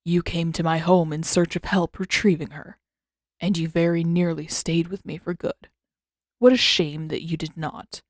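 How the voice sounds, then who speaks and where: A woman narrator plays an ancient-sounding menace from a fantasy audiobook, a monstrous spirit creature. It speaks in formal, deliberate phrases with cool politeness. The recording is dry and close. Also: on the low side, steady tone, darkish tone, no echo, lightly acted.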